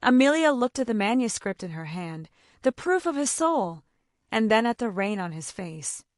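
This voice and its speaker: A woman's voice: fairly high pitch, very animated, moderate pace, dry recording, studio quality. A woman reading a romance story as an audiobook narrator, in a dramatic scripted style.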